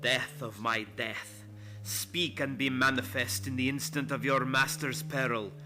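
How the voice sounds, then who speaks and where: A man's voice: mid-range voice, steady tone, a little slow, over loud music, natural voice. An actor playing an imperious vampire lord in a radio play, chanting to his minions in a grand, brooding manner. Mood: incantatory, menacing.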